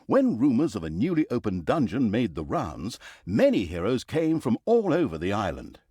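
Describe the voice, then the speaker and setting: An actor playing a sardonic, theatrical narrator of a villainous fantasy video game, telling it like a comic storybook with mock drama and wry asides. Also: male voice, fairly low pitch, wildly swooping pitch, moderate pace, dark tone, breathy edge, dry recording, clean studio sound, heavily acted. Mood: wry, dramatic.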